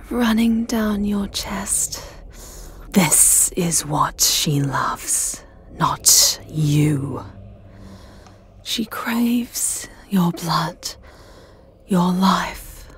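A woman playing a sinister, jealous living porcelain doll maid in a dramatic ASMR roleplay, speaking in a breathy whisper with a menacing, savoring delivery. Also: mid-range voice, a little hiss or hum. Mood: cold menace. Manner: slow, menacing, theatrical, savoring phrases. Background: music.

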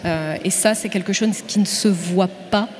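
Woman, mid pitch, earnest halting panel talk, hall reverb. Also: a little mellow, slight background noise. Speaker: studio executive assistant, panelist. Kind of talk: panel discussion. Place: conference stage in a large hall. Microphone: handheld microphone. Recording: reverberant hall, faint ambience.

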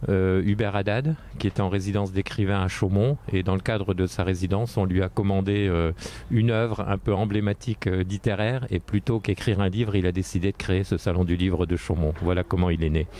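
A man's voice: sits low, fairly even intonation, fairly quick, warm tone, slight background noise. A middle-aged man organizing a book festival, answering interview questions hesitantly with many fillers, speaking into a handheld mic in a tent. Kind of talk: interview at an event. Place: tent at a book fair, outdoors. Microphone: handheld mic held close.

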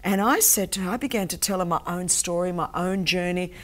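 Earnest, low-voiced woman telling a story. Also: a little fast. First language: Greek. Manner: intense, emphatic, animated hand gestures. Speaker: guest, anti-trafficking campaigner. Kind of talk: earnest storytelling in a talk-show interview. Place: TV studio set with sofas.

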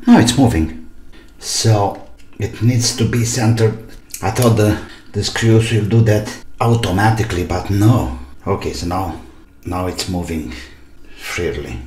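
Middle aged guy muttering through a repair, deep voice, echoey room with clicks and noise. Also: unhurried, a little mellow. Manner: muses aloud in short bursts, asking himself questions. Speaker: hobby repairer presenting his own video. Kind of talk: casual running commentary while repairing. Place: small indoor home workshop at a desk.

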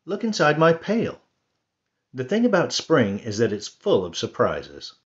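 A fussy, grumbling bunny from a storybook, raised in pitch and pushing hard on his complaints, put on by a male reader. His voice swings up and down a lot. The recording sounds dull and lo-fi. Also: huge pitch swings, a little slow. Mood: grumpy. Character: grumpy complaining male rabbit, small.

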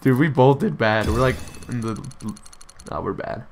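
A young man streaming a shooter game, chatting and trash-talking loudly with a friend. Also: mid-range voice, moderate pace, heavy background noise. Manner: loud, excitable trash talk. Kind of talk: casual gaming banter.

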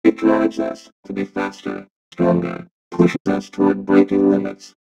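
A man's voice: a little slow, lo-fi sound, loud music behind the voice. A man reading a dramatic motivational voice-over through a robotic synth vocoder. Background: synthesizer tones.

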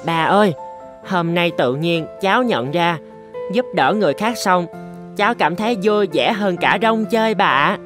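A man's voice: high-pitched, expressive, moderate pace, music in the background. A man playing a kind, eager young elephant in a children's cartoon. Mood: happy.

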